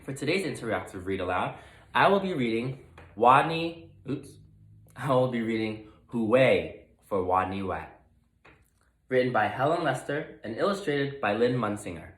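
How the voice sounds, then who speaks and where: Male teacher, storybook read-aloud: very animated pitch, expressive pauses, echoey room. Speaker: teacher reading aloud to students. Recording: roomy, echoey sound.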